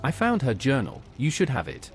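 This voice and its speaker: A man's voice: medium pitch, wildly swooping pitch, moderate pace. A man playing a plain-spoken adventurer in scripted video game dialogue. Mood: inquiring, matter-of-fact. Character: plain-spoken male adventurer asking questions. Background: faint game music.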